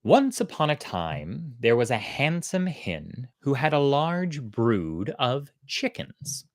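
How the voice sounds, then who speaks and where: A storytelling host with a lively, varied pitch and a close, dry sound. The delivery is conversational and thoughtful, moving between casual explanation and expressive storybook narration. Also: slow, dry recording.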